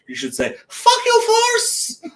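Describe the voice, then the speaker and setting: A man's voice: extremely high pitch, wildly swooping pitch, slow, creaky, fairly bright tone. A man of forty co-hosting a casual chat, teasing and musing aloud about ideas. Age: 40s. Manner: joking, teasing, muses aloud. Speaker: podcast co-host.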